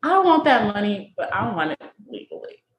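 A woman's voice: mid-range voice, echoey room, lo-fi sound. A young adult woman, a college student, telling stories as a podcast guest and stretching out her words. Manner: lively storyteller, drawn-out words. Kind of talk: casual interview chat.

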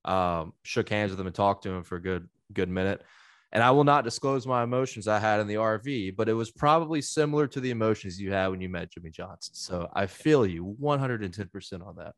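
A man's voice: fairly low pitch, a little fast, dry recording. An American man in his twenties from South Mississippi, co-hosting a casual chat. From South Mississippi, United States. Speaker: podcast host.

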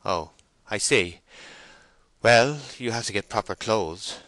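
A reluctant army sergeant from a storybook, played by a man, speaks in a forceful, fairly low voice. He is guarded and dismissive, evasive at every turn, in a dry close recording. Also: expressive, takes it slowly, no echo. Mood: offhand, stalling.

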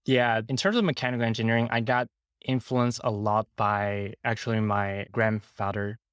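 A man with a Hong Kong accent speaks in a fairly low voice, recounting his own experiences in a casual interview style. The sound is dry and close, with little room to it. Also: fairly even intonation, normal speed, clear, resonant tone, no room sound. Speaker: podcast guest. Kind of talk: casual interview, personal storytelling.

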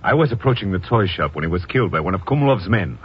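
A formal police deputy, really low voice that swings a lot, dull old radio sound. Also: male voice, expressive, fast talker, husky, exaggerated voice. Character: earnest male deputy of secret police, secretly helping. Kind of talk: 1950s radio drama acting.

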